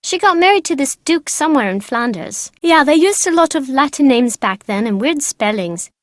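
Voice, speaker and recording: A woman's voice: high voice, animated, moderate pace, dry recording. A woman voicing a bratty, know-it-all young girl from an old English family in a video game. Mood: chatty, matter-of-fact. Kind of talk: video game character dialogue.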